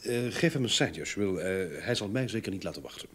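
An actor playing a self-assured, dry investigator with an authoritative manner in an old radio drama. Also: male voice, low voice, animated, fast, a bit bright. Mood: polite, insistent. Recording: noisy old radio play recording.

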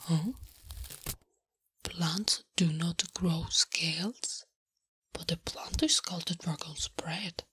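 Woman playing a big female orc, low-pitched, very breathy whisper, slow halting broken English, naive, blunt, smitten, ASMR roleplay. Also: steady tone, fairly bright tone, slight background noise. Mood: puzzled, curious. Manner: halting broken English, speaks of herself in third person. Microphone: close-up ASMR microphone. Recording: close, intimate microphone.

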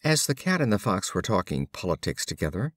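A man reading fables as scripted audiobook storytelling, with measured, deliberate phrasing. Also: deep voice, sing-song, fairly bright tone, no echo, clean studio sound. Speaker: audiobook reader.

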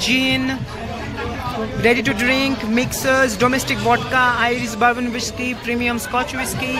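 Man vlogging in a noisy brewery. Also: very high-pitched. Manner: mixes English and Hindi, reads menu items aloud. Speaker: food vlogger. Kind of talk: casual restaurant vlog. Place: busy brewery restaurant. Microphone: handheld phone camera. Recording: loud music and crowd chatter.

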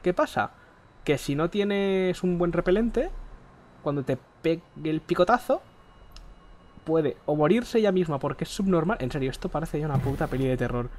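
A man from Spain chatting while he plays, rambling and crude, keeps clearing his throat, speaking into a headset mic. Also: fairly high pitch, expressive, normal speed, a little mellow, soft background music. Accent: Spain Spanish. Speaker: gaming streamer. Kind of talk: casual gameplay chat. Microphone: headset mic close to the face.

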